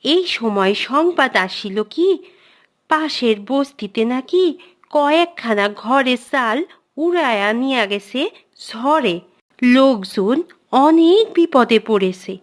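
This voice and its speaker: A woman's voice: high voice, animated, takes it slowly. A woman narrating a scripted children's cartoon tale in an old-fashioned literary storytelling manner.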